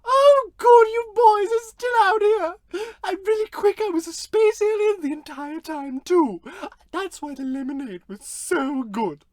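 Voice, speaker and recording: Chirpy secret alien, nonchalant, squeaky-high voice, dry studio sound, radio comedy. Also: female voice, animated, unhurried, few pauses, darkish tone, no room sound. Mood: cheerful. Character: chirpy, nonchalant space alien posing as human. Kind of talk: old-time radio play comedy acting.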